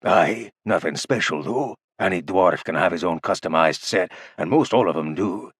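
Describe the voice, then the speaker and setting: A man's voice: deep voice, animated, normal speed, a bit bright, slightly rough, dry recording, studio quality, heavily acted. A man voicing a huge, stern male half-dwarf guard who speaks bluntly and tersely. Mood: gruff.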